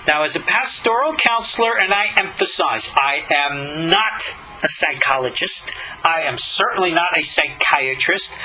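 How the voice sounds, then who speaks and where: A man's voice: sits high, pushed and bright, slight background noise, poor audio. A middle-aged or older man, a rabbi giving a religious lesson, reading a text and commenting on it in an emphatic, skeptical, questioning way.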